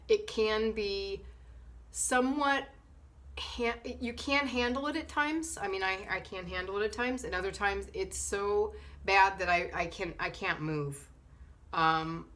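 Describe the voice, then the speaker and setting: A woman's voice: fairly low pitch, fairly bright tone. Woman with a stuffy, nasal cold voice. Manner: hesitant, many fillers and restarts. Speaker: vlogger. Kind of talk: casual talk to camera. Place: sunny living room indoors.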